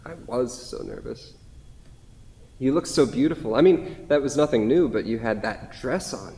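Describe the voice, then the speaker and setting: Male reader as devoted, self-deprecating husband, wistful and conversational, mid-pitched, stage reading in a reverberant hall. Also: animated, moderate pace, mellow, lightly acted. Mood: fond, nostalgic. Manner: reminiscing, conversational.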